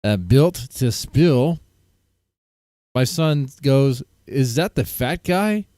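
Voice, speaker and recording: A man's voice: mid-range voice, expressive, takes it slowly, dark tone, dry recording, studio quality. A man hosting a livestream, laid-back and chatty, greeting viewers in the chat. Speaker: livestream host.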